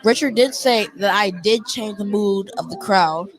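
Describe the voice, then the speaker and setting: Young performer, interview guest, halting, slow-paced, medium pitch. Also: poor audio. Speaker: young cast member being interviewed.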